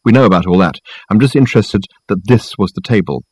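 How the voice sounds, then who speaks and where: A man's voice: fairly low pitch, animated, quick pace, a little mellow, slightly rough, no room sound. A man voicing an imperious, testy male detective with an airy, impatient, theatrical manner in an audiobook.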